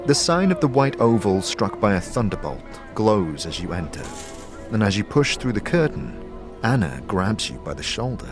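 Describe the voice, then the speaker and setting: A man's voice: fairly low pitch, very animated, normal speed. A man narrating a scripted fantasy audio drama in the second person, as a game master would. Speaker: narrator and game master, also voicing the lead character. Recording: music under the voice.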